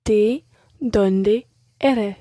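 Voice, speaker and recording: Mellow woman, careful learner. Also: mid-range voice, no echo. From Scotland. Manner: careful, measured repetition. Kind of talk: repeating phrases in a language lesson.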